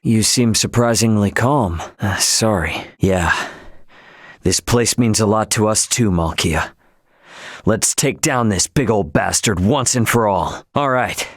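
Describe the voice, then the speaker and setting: A man playing a brash, easygoing young spaceship crewman in a video game, with casual, slangy, expressive speech. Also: on the low side, slow pace, dry recording, studio quality. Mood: fired up. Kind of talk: video game character voice acting.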